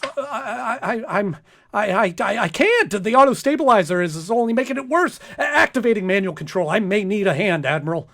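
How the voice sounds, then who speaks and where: A man acts as an earnest space captain from a radio comedy. His voice is high and swings widely. He speaks theatrically and gets flustered easily. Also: lively intonation, a little fast, gravelly, a bit bright, heavily acted. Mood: panicked, flustered. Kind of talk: comic radio play acting.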